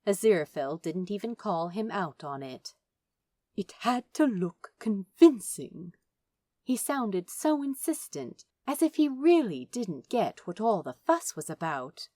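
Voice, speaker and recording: A woman reading fan fiction aloud as an audiobook narrator, with expressive, dramatic storytelling. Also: medium pitch, normal speed, hardly any pauses, no echo, clean studio sound.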